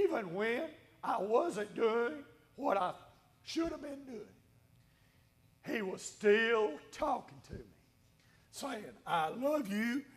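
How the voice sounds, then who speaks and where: A man's voice: extremely high pitch, husky. A man preaching earnestly and plainly as a pastor, repeating phrases for emphasis. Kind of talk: evangelical sermon and church address. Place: large church sanctuary, indoors.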